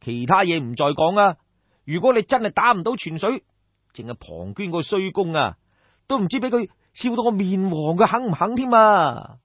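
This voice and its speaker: A man's voice: high-pitched, sing-song, moderate pace, slightly rough, no room sound, highs cut off, heavily acted. A man with a Cantonese accent voicing a brash, crafty young schemer, exclamatory and coaxing, in a storybook tale. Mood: coaxing, insistent.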